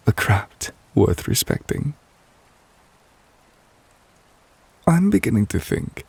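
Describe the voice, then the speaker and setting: Man voicing devoted romantic witch lover, ASMR roleplay, lowish gentle voice, creaky edges, soft, wistful, possessive, close-miked. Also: no echo, heavily acted. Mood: thoughtful, loving. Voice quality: soft, breathy, husky. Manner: intimate, measured, gentle, wistful. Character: devoted, tender, possessive male witch lover, softly proposing. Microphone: close, dry microphone.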